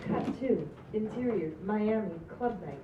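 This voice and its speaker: A woman's voice: medium pitch, expressive, unhurried. Echoey woman reading stage directions. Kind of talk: scripted narration at a table read. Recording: echoey, dull, noisy, distant room recording.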